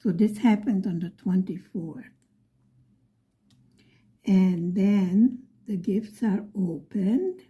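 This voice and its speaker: A middle-aged woman from Buffalo, New York, telling a story in a soft, often whispery voice. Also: mid-range voice, slow pace, pauses often. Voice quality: frequently whispery, breathy delivery. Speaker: vlogger.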